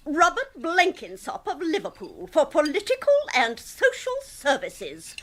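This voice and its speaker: An actress playing a domineering, indignant middle-aged wife, scolding and imperious, in a comic radio drama. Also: female voice, high voice, wildly swooping pitch, unhurried, slight background noise, heavily acted.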